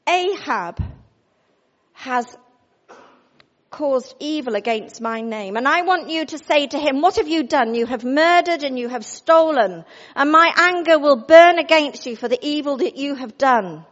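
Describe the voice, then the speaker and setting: A woman's voice: sits high, animated, measured pace, fairly bright tone, highs cut off. A woman voicing God in a storybook, speaking solemnly and sternly. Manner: solemn, pronouncing.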